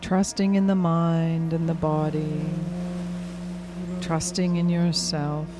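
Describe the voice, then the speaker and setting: Slow, soothing woman guiding meditation over music. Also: low voice, level tone. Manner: soothing, unhurried, lulling delivery. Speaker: guided meditation narrator, hypnotherapist. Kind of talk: guided hypnosis meditation.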